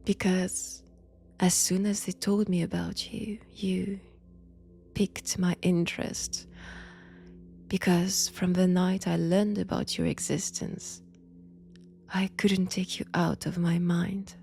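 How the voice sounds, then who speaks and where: A woman playing a mad vampire in an intimate audio roleplay, with a French accent, seductive, menacing and mockingly tender, speaking in halting short phrases. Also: on the low side, level tone, creaky, mellow, slight background noise. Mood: playful, obsessed. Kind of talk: slow intimate roleplay monologue.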